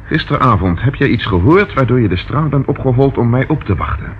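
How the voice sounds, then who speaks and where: An actor in a radio play as a police inspector, probing and direct, curt but caring. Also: male voice, medium pitch, lively intonation, a little fast, close to an everyday voice. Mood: firm, probing. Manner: probing, direct, sometimes snappy. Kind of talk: Dutch radio play acting. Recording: dull lo-fi old radio recording, faint noise.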